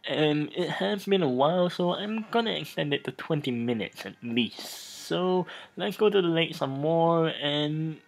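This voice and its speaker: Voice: mid-range voice, lively intonation, measured pace, vocal fry, few pauses. A let's play host commenting on a game and reading its text aloud in a theatrical, stumbling way.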